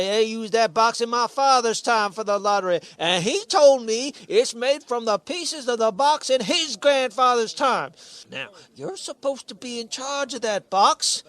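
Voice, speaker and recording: Stubborn elderly villager, very high pitch, folksy grumbling, dry recording. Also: male voice, fairly bright tone, no echo, full character voice. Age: seventies or older. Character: cranky, stubborn oldest man in a village, tradition-bound. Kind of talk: radio play acting.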